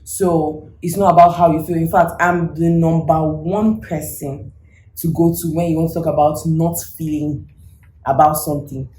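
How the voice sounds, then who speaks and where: A woman from Nigeria, co-hosting a casual couples chat, talking in an animated, emphatic way. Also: deep voice, moderate pace, reverberant, a little hiss or hum, low-quality recording. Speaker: co-host.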